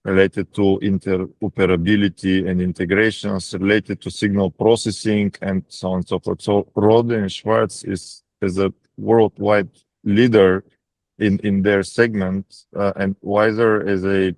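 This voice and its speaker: A man with a Bulgarian accent answering as a company executive on an earnings call, haltingly and often repeating words mid-sentence. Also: deep voice, flat delivery, a little mellow, dry recording. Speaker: defense practice lead at a technology company.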